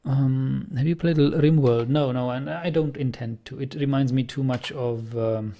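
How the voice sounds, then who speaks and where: A man's voice: mid-range voice, fairly even intonation, normal speed, mellow. A man with a Czech accent, a native Czech speaker, giving casual gameplay commentary and answering chat as a streamer, thinking aloud and often restarting his words. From Czech Republic. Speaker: game streamer.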